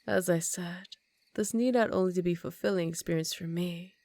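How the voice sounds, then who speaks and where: Woman playing a vampire countess for ASMR roleplay: lower-pitched, predatory, dominant, teasing, slipping into whispers, very dry close sound. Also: mellow, dry recording, natural voice. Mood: seductive, amused. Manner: slow teasing, dominant purr, often dropping into whispers. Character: seductive, commanding female vampire countess, ancient and predatory.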